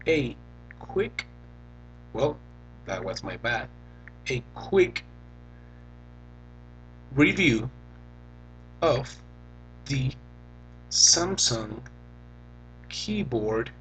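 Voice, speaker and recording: A young man from Venezuela with a Venezuelan Spanish accent, a native Spanish speaker, talking casually and haltingly as he demos and reviews a phone keyboard. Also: medium pitch, a bit bright, slight background noise, poor audio. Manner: slow, halting, thinks aloud while typing. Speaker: tech reviewer.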